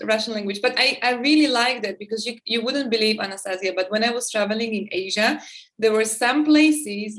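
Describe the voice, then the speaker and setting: A woman's voice: a little high, fairly bright tone. A woman from the former Czechoslovakia, speaking English as a second language, hosting a casual interview with enthusiastic, chatty talk. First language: not English; similar to Czech. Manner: enthusiastic, chatty, frequent restarts. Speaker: podcast host.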